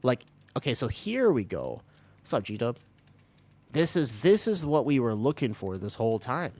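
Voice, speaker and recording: A man streaming a video game live, chatting with viewers and explaining tactics while he plays, his voice still raspy from being sick. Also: mid-range voice, very animated, moderate pace, a little mellow. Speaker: streamer playing a tank. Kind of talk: live gameplay commentary.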